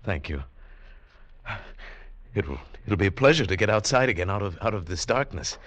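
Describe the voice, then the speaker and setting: An actor playing an earnest, intense adventurer recounting his ordeal in 1940s radio drama, in halting dramatic speech. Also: deep voice, expressive, fairly bright tone, dry recording. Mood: relieved, tender.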